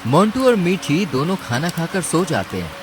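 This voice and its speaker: A man's voice: a little high, sing-song, normal speed. Male cartoon story narrator over rain noise. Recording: clear rain and ambience noise.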